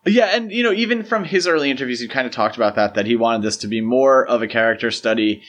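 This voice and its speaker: Man, podcast co-host, mid-range pitch, casual film discussion, explanatory, conversational phrasing. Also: fast talker, fairly bright tone. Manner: conversational, explanatory, uses "like" and "you know" often.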